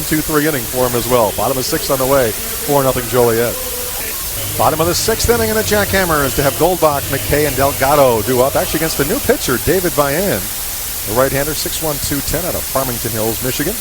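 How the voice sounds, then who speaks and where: A man's voice: medium pitch, expressive, fairly quick. Guy doing radio baseball play by play, clipped phrases, midrange voice, lots of static hiss. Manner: clipped, fragmentary phrasing that follows the action. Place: live baseball game broadcast. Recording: hissy, static-laden radio broadcast recording.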